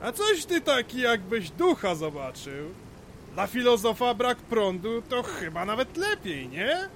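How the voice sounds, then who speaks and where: A teasing middle-aged dad, high voice, slow delivery, exclamatory, folksy, audio drama. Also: male voice, wildly swooping pitch, a bit bright, slight background noise, full character voice. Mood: gruff teasing. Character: hearty, gruffly teasing father at a family party. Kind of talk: Polish audio drama dialogue.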